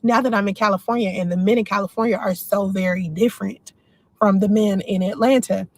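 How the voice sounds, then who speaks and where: A woman's voice: mid-range voice, fairly even intonation, brisk, dry recording. A woman in her forties from the Washington, D.C. area, hosting a casual livestream and speaking her mind while answering viewer comments. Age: 40s. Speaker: livestream host.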